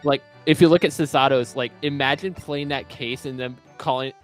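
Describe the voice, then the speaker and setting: A friend walking the player through game options in quick, filler-heavy chat. Relaxed and conversational, with an ordinary mid-pitched voice. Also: faint music behind. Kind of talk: casual let's-play chat.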